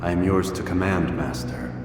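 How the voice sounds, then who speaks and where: An actor playing a cold, proud young assassin in a video game, terse, businesslike and deadpan. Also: male voice, low-pitched, normal speed, close to an everyday voice. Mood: obedient, resolute. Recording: loud music behind the voice.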